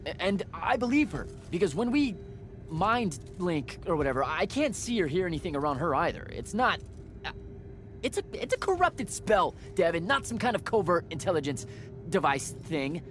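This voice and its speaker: An actor playing a young male battlemage, earnest and defensive, with a halting, stammering delivery in game cutscene style. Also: sits high, sing-song, fairly bright tone, soft background music. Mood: flustered, pleading. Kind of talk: video game cutscene acting.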